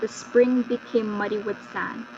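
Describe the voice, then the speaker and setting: A woman's voice: medium pitch, little pitch movement, measured pace. A woman storyteller giving a scripted, dramatic narration of a folktale. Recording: dull lo-fi recording with loud mains hum.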